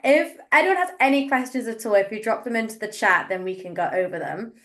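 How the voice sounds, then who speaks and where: A woman's voice: a little high, expressive, brisk, a bit bright. A woman hosting a webinar, informally moderating questions and answers. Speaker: webinar host.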